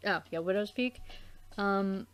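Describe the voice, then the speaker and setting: Slow-talking woman, halting casual chat. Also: medium pitch. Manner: halting, repeats words. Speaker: co-host of a game let's play.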